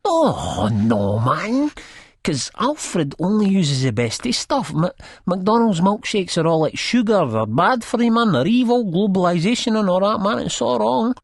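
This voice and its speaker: An actor playing a rambling, good-hearted, drug-addled man from Leith with a husky Edinburgh accent, telling his story in an audiobook. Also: sits high, big pitch swings, unhurried, no echo, studio quality, full character voice. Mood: excited, pleading. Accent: Edinburgh Scottish. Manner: colloquial, rambling, peppered with "man". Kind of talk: first-person audiobook narration, acted in character.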